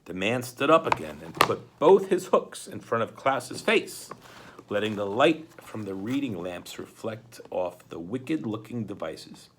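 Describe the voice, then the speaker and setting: A man reading a children's book aloud in a dramatic, expressive way, occasionally stumbling over words. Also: fairly low pitch, measured pace, noisy background. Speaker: storybook reader, school principal.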